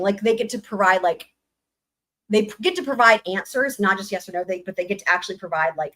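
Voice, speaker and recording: A woman hosting a casual livestream, excited and rambling, her voice going hoarse. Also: fairly low pitch. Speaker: livestream host.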